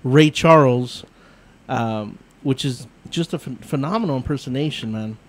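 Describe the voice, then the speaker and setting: A man's voice: medium pitch, normal speed. A man hosting a radio talk show, eagerly praising and questioning a guest. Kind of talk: casual radio interview.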